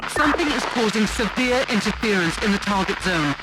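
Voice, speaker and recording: High-voiced, dutiful ship officer. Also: male voice, expressive, a little fast, loud music behind the voice, low-quality recording. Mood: urgent, dutiful. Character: dutiful, formal male ship officer reporting urgent messages. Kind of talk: video game cutscene acting.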